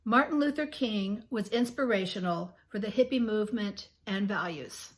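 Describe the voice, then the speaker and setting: A woman in her sixties with a scratchy, under-the-weather voice, reading her thoughts aloud earnestly and softly to her channel's viewers, now and then clearing her throat. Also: medium pitch, moderate pace. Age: 60s. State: scratchy voice from illness. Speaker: channel host. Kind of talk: reflective reading to camera.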